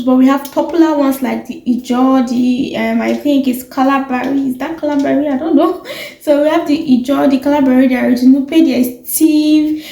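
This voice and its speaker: A woman's voice: sits high, dark tone, reverberant. A young woman with a Nigerian accent, chatting casually to camera, rambling with fillers and corrections. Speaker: vlogger.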